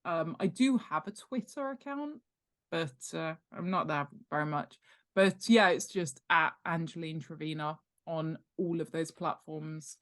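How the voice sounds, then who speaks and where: A woman with a slightly low voice speaks as a guest in a casual interview. She is chatty and humorous, with a self-deprecating streak. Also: unhurried, a bit bright. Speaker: guest author and world-building expert.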